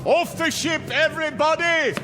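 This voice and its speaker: A man's voice: very high voice, background noise. An actor playing a frantic male ship passenger gripped by dread, agitated and repeating himself, in an audio drama. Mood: panicked. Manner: agitated, halting repetitions, rising to desperate cries. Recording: dramatic music under the voice.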